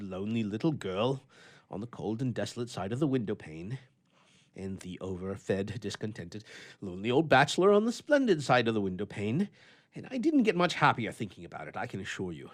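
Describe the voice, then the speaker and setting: Man acting a theatrical, wildly expressive grumpy old-fashioned bachelor. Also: lowish voice, fairly quick. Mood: melancholy, brooding. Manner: theatrical, stammering and blustering. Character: grumpy, flustered bachelor with a soft heart, telling his tale. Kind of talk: dramatic storytelling in old-fashioned literary prose.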